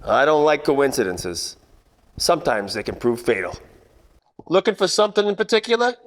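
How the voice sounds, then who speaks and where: A man's voice: fairly high pitch, normal speed, a little hiss or hum. Wry private eye in long reverb acted by a man. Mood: wry, deadpan. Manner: deadpan hard-boiled narration and quips. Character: wisecracking male 1940s private detective, wry and world-weary. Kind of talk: 1940s radio noir parody narration.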